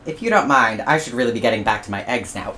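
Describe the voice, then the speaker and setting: Woman voicing a grumpy storybook platypus, blunt, loud, tired of questions. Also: a bass voice, expressive, quick pace, a little hiss or hum. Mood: fed up. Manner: exasperated, emphatic, raised voice. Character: testy egg-laying platypus, blunt and fed up.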